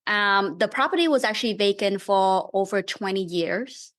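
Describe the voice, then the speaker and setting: A woman's voice: medium pitch, moderate pace, a bit bright. Vietnamese-accented woman, friendly explainer. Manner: friendly, thoughtful, explains things step by step. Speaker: guest real estate investor. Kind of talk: casual podcast interview.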